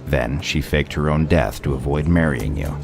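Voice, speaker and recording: Man playing a very deep, gravelly sardonic detective. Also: expressive, moderate pace, exaggerated voice. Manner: dry, sardonic. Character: gruff, sardonic male detective laying out a case. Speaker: voice actor in an audio drama. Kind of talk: audio play acting, detective explaining a case. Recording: music bed under the voice.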